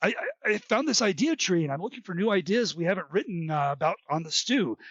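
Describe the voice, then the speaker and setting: A man's voice: high voice, lively intonation, fast, fairly bright tone, dry recording. A man playing an eager, scatterbrained little gnome musing aloud in a comedic audio skit, recorded with dull sound. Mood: puzzled, searching.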